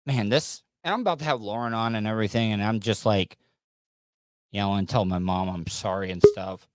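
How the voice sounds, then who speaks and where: A man's voice: on the low side, moderate pace, darkish tone, dry recording, clean studio sound. A man hosting a casual podcast, talking loosely with restarts and fillers, sweaty and coming down with a sinus infection. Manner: rambling, restarts and fillers, joking. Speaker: podcast host. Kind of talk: casual livestream chat.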